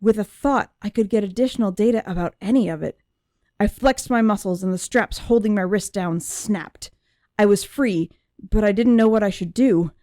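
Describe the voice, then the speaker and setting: A woman voicing an anguished young female android who is searching for herself, in emotive, deliberate first-person audiobook narration. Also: mid-range voice, warm tone, no room sound, studio quality, natural voice. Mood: tense, awed.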